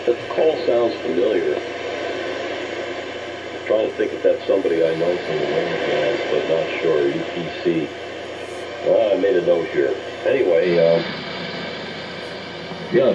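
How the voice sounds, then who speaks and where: A middle-aged or older American man chatting casually and hesitantly as an amateur radio operator, heard through an AM radio transmission. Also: low-pitched. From United States. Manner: rambling, hesitant, reminiscing. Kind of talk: casual ham radio chat. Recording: muffled, noisy AM shortwave radio signal. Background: radio static noise.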